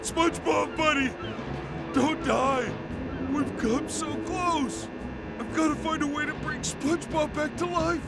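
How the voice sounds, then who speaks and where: Slow, goofy cartoon starfish. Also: male voice, very high voice, steady tone, gravelly, loud music behind the voice, exaggerated voice. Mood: frantic, tearful. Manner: slow, naive, emotional. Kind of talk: cartoon video game voice acting.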